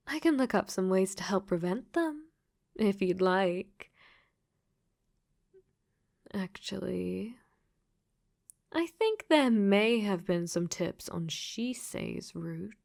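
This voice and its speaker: Give the slow, lingering voice of a possessive yandere girl from a dating game, acted by a woman. She is an AI character speaking softly in ASMR roleplay, coaxing and teasing, sometimes sinking to a whisper. Her sweetness is clingy and controlling. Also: medium pitch. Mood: soft, sweet. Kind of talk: soft ASMR audio roleplay.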